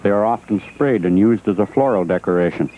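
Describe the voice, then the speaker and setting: A man's voice: on the low side, a little fast, phone-quality sound, a little hiss or hum. A man reading scripted narration for an educational film, on an old 1980s film soundtrack.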